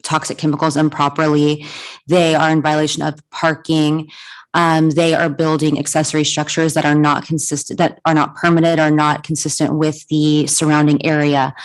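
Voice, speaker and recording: A soft-spoken woman serving as city attorney, methodically explaining an ordinance at a commission meeting. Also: deep voice, level tone, moderate pace. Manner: clarifying, methodical.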